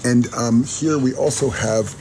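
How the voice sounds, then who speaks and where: A man working as a radio host, interviewing pilgrims outdoors in a small village, asking short questions between many pauses. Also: mid-range voice, heavy background noise. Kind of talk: field interview on a walking trail.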